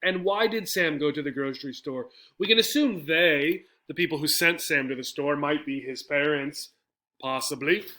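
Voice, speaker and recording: Guy teaching english, kinda higher voice, explains stuff step by step and keeps checking you get it. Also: moderate pace, sharp tone. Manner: didactic, checking understanding with questions and tags like right and okay. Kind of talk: explanatory English lesson with poem reading.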